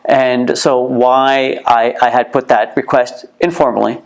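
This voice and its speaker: A man's voice: medium pitch, flat delivery, a little slow, vocal fry. A middle-aged man with a Canadian accent giving earnest interview answers and restarting sentences by repeating words. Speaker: museum co-founder, interview guest. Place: heritage museum.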